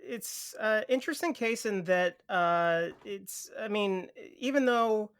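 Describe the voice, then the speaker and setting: A man talking casually as a podcast film expert, giving blunt opinions in halting, self-correcting phrases. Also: high voice, a bit bright, clean tone, no echo.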